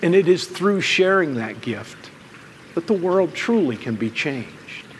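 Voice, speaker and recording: A middle-aged American man, a pastor preaching a sermon in deliberate, emphatic phrases with pauses. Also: a little high, lively intonation, fairly bright tone. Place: church room with stained glass windows, indoors. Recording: long hall reverb, some background noise.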